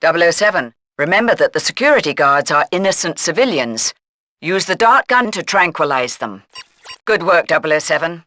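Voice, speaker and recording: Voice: mid-range voice, animated, normal speed, bright, forceful tone. An actor voicing a stern British intelligence chief in a video game, giving mission orders in a formal, clipped, authoritative manner. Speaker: mission briefer. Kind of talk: scripted video game voice acting.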